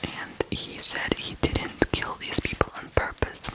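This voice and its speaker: A person from Ukraine telling stories in whispered ASMR style, softly and deliberately, pausing between short phrases. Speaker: ASMR true-crime host.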